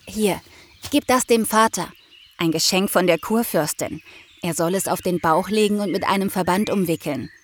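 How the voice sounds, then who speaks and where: A woman's voice: lowish voice, moderate pace, natural voice. Earnest young apprentice woman, acted, faint background noise. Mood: quiet, earnest. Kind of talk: German audio drama acting.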